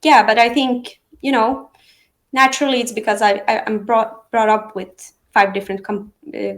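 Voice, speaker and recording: A woman from Sweden, whose first languages are Aramean and Arabic, talking through her path in real estate in a relaxed interview with frequent fillers and restarts. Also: a little high, moderate pace. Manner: thoughtful, many fillers and restarts. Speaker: real estate agent, guest. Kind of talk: casual interview conversation.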